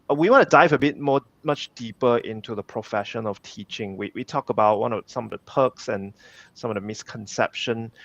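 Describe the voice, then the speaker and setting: Casual man host with a low voice. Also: no echo, highs cut off. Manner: casual, hesitant with fillers. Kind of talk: interview questions.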